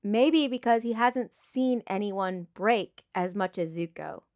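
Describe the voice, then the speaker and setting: A woman reading dramatic fiction as a scripted narration, with measured, solemn phrasing. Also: mid-range voice, a little mellow. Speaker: audiobook reader of fan fiction.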